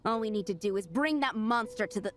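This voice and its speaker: A woman's voice: medium pitch, animated, fast talker, lightly acted. A woman playing a haughty, pushy diplomatic envoy in a video game, speaking in a formal, condescending manner. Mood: cool, pressing. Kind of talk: anime-style video game acting.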